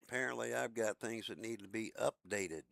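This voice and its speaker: A man with a low voice chatting casually with viewers while streaming a game, in a laid-back, talkative way. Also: brisk, vocal fry, fairly bright tone, no room sound, studio quality. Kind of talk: casual live-stream chat.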